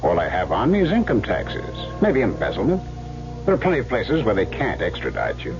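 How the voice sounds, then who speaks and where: Deep, gravelly radio-drama gangster. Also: male voice, wildly swooping pitch, a little fast, over music. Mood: coaxing, ruthless. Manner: persuasive, commanding. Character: notorious male gangster in hiding, cool, coaxing, ruthless. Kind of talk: old-time radio drama acting. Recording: muffled old radio recording.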